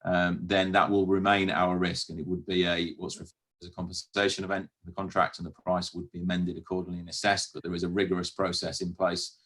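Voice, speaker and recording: A British man speaking as a council officer in a formal meeting, explaining matters in a measured, careful way with some hesitant phrasing. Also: low-pitched, little pitch movement, a bit bright. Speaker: council officer presenting to a committee.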